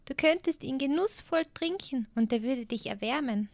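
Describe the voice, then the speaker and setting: A woman voicing a small, dirty but wise girl in an audio story, speaking gently and softly. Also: high voice, lively intonation, normal speed, vocal fry, dry recording. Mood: gentle. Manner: gentle, soft-spoken storytelling. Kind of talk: audiobook character voice.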